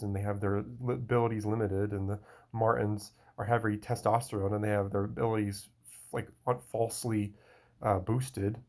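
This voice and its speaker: A young man talking in a casual solo monologue, rambling and restarting often. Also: lowish voice. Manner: rambling, frequent restarts and fillers.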